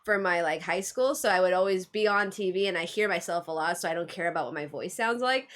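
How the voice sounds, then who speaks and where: A woman's voice: lowish voice, fast talker, fairly bright tone. A woman hosting a casual chat, bubbly and giggly with lots of fillers. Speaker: host.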